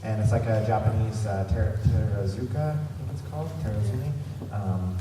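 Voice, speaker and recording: A man's voice: on the low side, fairly quick. A man hosting a convention panel, giving an informal, rambling and enthusiastic fan presentation. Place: large convention centre room. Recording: echoey hall from far off, noisy. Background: room noise.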